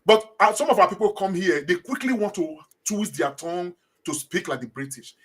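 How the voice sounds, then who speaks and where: A man's voice: high-pitched, animated, normal speed, slightly rough. A middle-aged man with a Nigerian accent, answering interview questions in a hesitant way, repeating words and saying uh often. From Edo State, Nigeria. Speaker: guest.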